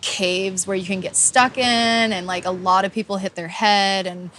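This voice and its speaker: A woman's voice: medium pitch, little pitch movement, normal speed, a bit bright, slight background noise. A woman from Hawaii in her thirties, a professional surfer, chatting casually in an interview and leaning on lots of "like" fillers. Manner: laid-back, lots of "like" fillers. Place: outdoors on a beach. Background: music.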